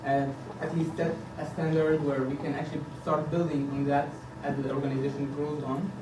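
A man's voice: on the high side, level tone, brisk. A man in his thirties from Egypt, giving an earnest campaign speech at a podium, with frequent hesitations and fillers. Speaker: board candidate. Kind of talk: campaign presentation. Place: meeting room indoors, at a podium. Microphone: far camera. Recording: echoey room from a distance, background hiss.

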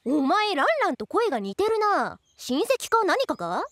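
A woman's voice: very high-pitched, very animated, moderate pace, no room sound, exaggerated voice. A bratty demon girl. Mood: demanding. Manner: loud, brash, whiny, rough-talking. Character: bratty boastful female snake-tailed demon girl. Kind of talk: anime voice drama. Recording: music under the voice.

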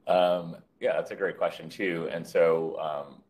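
Very deep-voiced man presenting a case. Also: steady tone, unhurried, darkish tone. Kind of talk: clinical case presentation, unscripted. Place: indoor room on a video call. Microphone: video call.

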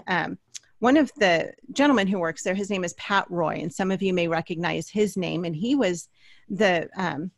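A woman's voice: lowish voice, no echo. A middle-aged woman hosting an interview in a chatty, storytelling way, recorded close on a studio microphone. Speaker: podcast host. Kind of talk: conversational interview introduction. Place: small indoor home studio. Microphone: large studio microphone up close.

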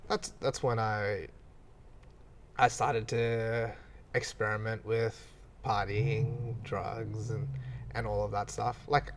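A man's voice: lowish voice, flat delivery, takes it slowly, slight background noise. A man, chatting candidly as a podcast guest and laughing at himself now and then. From Australia. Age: 20s. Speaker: podcast guest, gym owner. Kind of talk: casual podcast chat.

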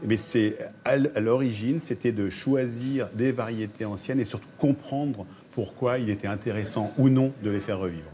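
A man's voice: medium pitch, moderate pace, mellow, a little hiss or hum. A man, a miller talking spontaneously in a TV report. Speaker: flour miller, interviewee.

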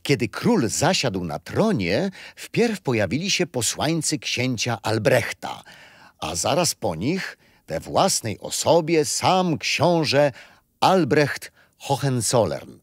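A patient old grandfather tells stories in a children's radio drama. He speaks slowly with lively intonation and gently teases. The voice is a little husky. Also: medium pitch, no room sound, studio quality, exaggerated voice. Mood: storytelling. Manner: unhurried, expressive, chuckling. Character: kindly grandfather storyteller, patient, teasing, wise. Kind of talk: children's radio play storytelling.

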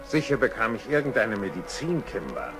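A man's voice: fairly low pitch, big pitch swings, brisk, echoey room, over music. A man playing a thoughtful older animal adviser, telling the tale in a children's radio play.

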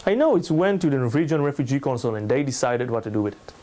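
A man in his thirties with an Israeli accent, lecturing in halting but emphatic non-native English. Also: medium pitch, lively intonation, dark tone, a little hiss or hum. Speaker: lecturer, NGO researcher. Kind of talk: public lecture with slides. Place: indoor salon room, speaking to a small audience.

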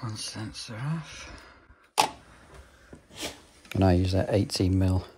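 A man with a low, deep voice talks through a plumbing job as he does it, matter-of-fact and practical. He records on a phone up close, with tool clicks and background noise around him. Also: animated, slow pace. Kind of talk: working vlog narration. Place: customer's home and inside his van.

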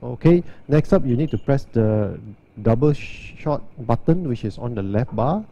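A man, giving a steady, step-by-step walkthrough and demo of an espresso machine. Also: medium pitch, a little slow, gravelly, dull audio. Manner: steady, step-by-step instructional delivery. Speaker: barista demonstrating an espresso machine.

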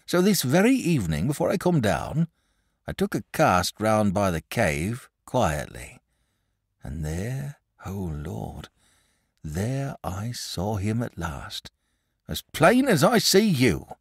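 A fretful middle-aged country shepherd, put on by a male storyteller, rambling in rustic speech with excitable swoops of pitch. The voice is recorded close and dry, with no room sound. He talks as a storybook figure. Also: unhurried, breathy edge, dry recording, heavily acted. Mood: fearful.

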